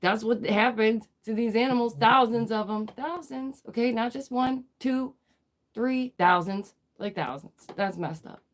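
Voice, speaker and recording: A woman's voice: a little high, unhurried. A woman with a nasal, congested voice, still getting over a cold, hosts a live news commentary stream. She leans hard on emphasis, repeats lines for effect and keeps throwing rhetorical questions at her viewers. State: stuffy, recovering from a cold. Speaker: livestream host.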